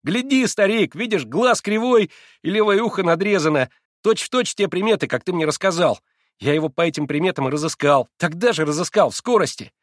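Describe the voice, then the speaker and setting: A man's voice: mid-range voice, lively intonation, moderate pace, hardly any pauses, fairly bright tone, no echo, clean studio sound. A man voicing a proud, eager teenage boy in an audiobook, exclaiming and explaining in a bossy showman's way. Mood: elated, eager explaining. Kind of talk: acted audiobook dialogue.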